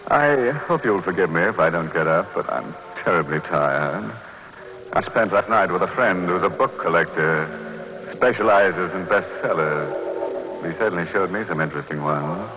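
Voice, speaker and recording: An actor playing a macabre, wry horror host on 1940s radio, slow and mock-courteous with morbid puns. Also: male voice, sits low, animated, noisy background. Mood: ghoulishly genial. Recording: muffled old radio recording, music behind.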